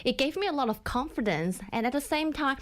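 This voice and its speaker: A woman's voice: high-pitched, lively intonation, fairly quick. A woman leadership coach, a guest on a radio show, telling her career story in an earnest, reflective way with small slips of grammar. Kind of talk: interview storytelling.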